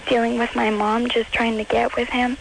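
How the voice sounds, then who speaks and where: A woman's voice: a little high, flat delivery, creaky, a little hiss or hum, low-quality recording. Teen girl caller with a baby voice. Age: teens. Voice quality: childlike baby voice. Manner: halting, says like a lot. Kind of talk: call-in radio chat.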